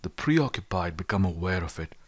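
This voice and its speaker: Low-pitched man reading an audiobook. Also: animated, brisk, husky. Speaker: audiobook reader. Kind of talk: scripted audiobook narration of philosophy.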